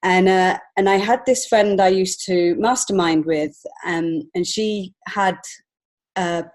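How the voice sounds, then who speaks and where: A woman's voice: lowish voice, steady tone, takes it slowly, a little mellow. A woman with an English accent talking reflectively and earnestly as a guest in a conversational interview. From England. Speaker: podcast guest, children's author and illustrator.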